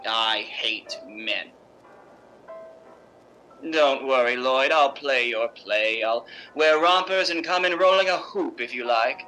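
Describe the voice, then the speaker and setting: Man playing an imperious, sharp-voiced aging stage diva. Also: medium pitch, soft background music, poor audio. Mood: bitter, sardonic. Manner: theatrical, sardonic, emphatic drawn-out phrasing. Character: imperious, bitter, witty middle-aged stage actress. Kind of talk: 1950s radio drama acting.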